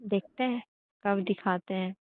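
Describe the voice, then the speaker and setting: A woman's voice: medium pitch, measured pace, no echo. A woman chatting casually and intimately on a phone call, in a coy, hesitant, questioning manner. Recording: tinny, band-limited phone call recording.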